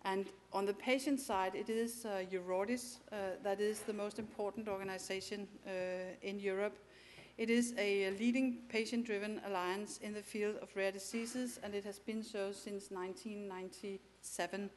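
A woman's voice: mid-range voice, steady tone, moderate pace, a little hiss or hum. A woman with a Danish accent, a native Danish speaker, giving a conference presentation in a hesitant way, with many fillers and restarts. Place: conference hall.

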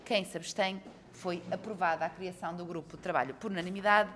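A woman with a northern Portuguese accent chairing a parliamentary committee, reading out agenda items in a brisk, formal, procedural way. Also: fairly low pitch, steady tone, fairly bright tone, a little hiss or hum. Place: parliamentary committee room with some echo.

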